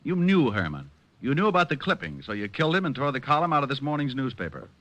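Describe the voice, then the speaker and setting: A man playing a sharp, confident, needling private detective in old-time radio style, speaking in a punchy, wry way. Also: medium pitch, very animated, fast, no echo, muffled recording. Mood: pressing, triumphant. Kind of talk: 1940s-50s radio detective acting.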